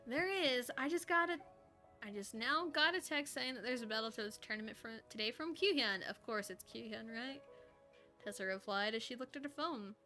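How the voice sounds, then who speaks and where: A woman's voice: a little high, normal speed, pushed and bright. A woman streamer reading a story aloud in a playful, giggly way, with casual asides. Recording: music behind the voice.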